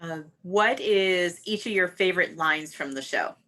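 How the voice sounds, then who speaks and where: A woman's voice: fairly low pitch, a little slow, sharp tone. A woman moderating a live audience Q&A in a casual hosting style.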